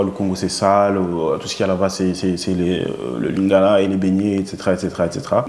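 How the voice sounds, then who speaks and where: A young adult man hosting an interview with his father, speaking in a relaxed way with frequent fillers. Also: on the low side, roomy sound. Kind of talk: casual interview.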